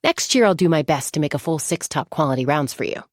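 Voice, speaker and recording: Casting: young female game gunslinger, lowish husky voice, self-assured, wryly playful, dry close studio sound over faint music. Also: animated, quick pace, no room sound. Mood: calm, wry. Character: confident young woman gunslinger peacemaker, composed, wryly playful. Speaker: video game voice actor. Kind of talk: scripted game character voice lines.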